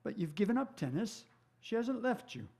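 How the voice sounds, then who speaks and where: Middle-aged genteel rogue, high-pitched male voice, guarded, dry, clubby, old-fashioned manners, radio play. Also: big pitch swings, normal speed, creaky, darkish tone, slightly rough, full character voice. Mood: probing, dry. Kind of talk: stage radio-drama acting.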